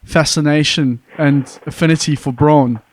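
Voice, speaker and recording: A man's voice: on the high side, fairly even intonation, normal speed, poor audio. A man hosting a podcast, asking questions in a chatty way and joking at his own expense. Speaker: podcast host. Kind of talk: casual podcast interview.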